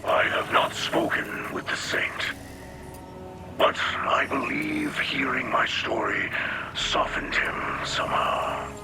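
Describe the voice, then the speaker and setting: An actor playing a solemn, weary but hopeful alien leader in a video game, with a breathy, rasping whisper and formal, halting phrases. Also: male voice, medium pitch, wildly swooping pitch, music in the background, full character voice. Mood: reflective. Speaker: leader and mentor. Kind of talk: video game cutscene acting.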